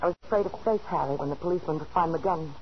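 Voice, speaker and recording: An actress playing a frightened, scheming wife who narrates anxiously in the dramatic style of a 1940s radio thriller. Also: female voice, low-pitched, very fast, slight background noise, natural voice. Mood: tense, brooding. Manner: anxious, dramatic first-person delivery. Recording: muffled old 1940s radio recording, organ music.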